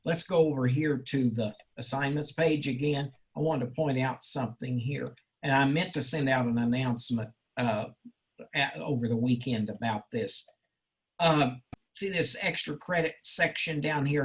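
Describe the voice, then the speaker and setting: A male college instructor talking informally with a class in a halting, rambling, encouraging way. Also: mid-range voice, breathy edge. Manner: encouraging, reassuring. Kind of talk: informal class question-and-answer.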